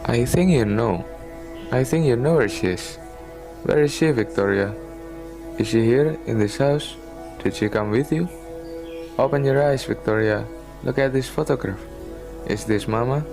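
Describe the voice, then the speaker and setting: A man's voice: medium pitch, measured pace, long pauses, over music. A man playing a doctor in an amateur radio drama, asking probing, coaxing questions. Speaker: doctor, researcher.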